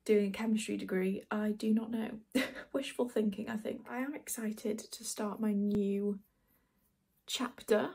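A woman's voice: mid-range voice, steady tone, unhurried, gravelly, warm tone, slightly rough. A twenty-year-old woman chatting casually while doing her makeup in a small bedroom, rambling with lots of fillers. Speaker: beauty vlogger. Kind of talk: casual get-ready-with-me chat. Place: small dim bedroom, indoors.

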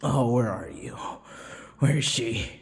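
An obsessive male vampire in an ASMR roleplay drama, menacing and full of grief. He speaks slowly and haltingly, with wide swings of pitch between breathy murmurs and outbursts. The voice rings in a long, hall-like reverb. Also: animated. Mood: vengeful. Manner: breathy whispering, halting repeated words, sudden outbursts.

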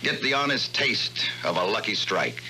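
A man's voice: fairly low pitch, expressive, moderate pace, low-quality recording. A man reading a 1950s television commercial voice-over as an announcer. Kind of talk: 1950s cigarette advert voice-over. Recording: old TV advert soundtrack. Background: loud music.

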